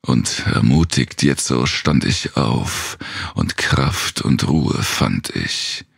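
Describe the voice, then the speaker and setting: A man with a gravelly, husky voice gives a brooding, theatrical recitation of gothic horror verse, sometimes sinking toward a whisper. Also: rumbling low, expressive, slow pace, dry recording, clean studio sound. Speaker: narrator.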